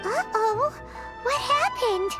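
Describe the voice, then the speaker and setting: A woman voicing a tiny, curious, excitable childlike fairy companion in a video game, with a squeaky voice. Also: slow pace, loud music behind the voice, full character voice. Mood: startled. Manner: speaks of self in third person, exclamatory.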